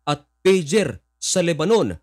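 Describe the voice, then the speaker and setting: A man's voice: fairly high pitch, sing-song, unhurried. A man with a Filipino accent reading scripted news commentary, explaining events and addressing listeners directly.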